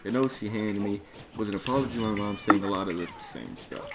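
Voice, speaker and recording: A man's voice: lowish voice, fast. A man telling a personal story in an emphatic, exasperated way, with plenty of swearing and slang. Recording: clearly noisy background.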